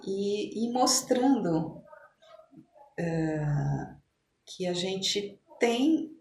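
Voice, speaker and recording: Low-pitched Brazilian woman in an echoey room. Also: a little mellow. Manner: halting, reflective, often rephrasing. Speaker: podcast guest, physician. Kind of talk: conversational interview. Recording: echoey room with noticeable background noise.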